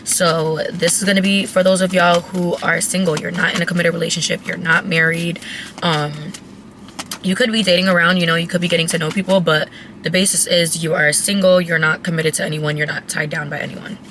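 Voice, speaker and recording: A raspy, hoarse woman talks straight to her viewers through a tarot reading, chatty and blunt, with an easy habit of swearing. Her tone is bright and forward despite the strained voice. A faint hiss of noise sits behind her. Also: lowish voice, level tone, brisk. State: hoarse, voice cracking. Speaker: tarot reader. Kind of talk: casual tarot reading to camera.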